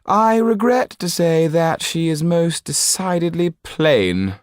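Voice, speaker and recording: A man's voice: on the high side, expressive, slow pace, no echo, studio quality, voice pushed up. A man voicing a callous, pompous French marquis in an audiobook, speaking with drawling disdain and dismissiveness. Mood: cold disdain.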